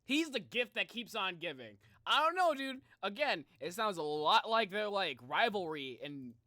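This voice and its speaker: A bright-voiced streamer reacting casually to game lore. The delivery is excitable and rambling, swinging widely in pitch, in a close, dry recording. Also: moderate pace, dry recording.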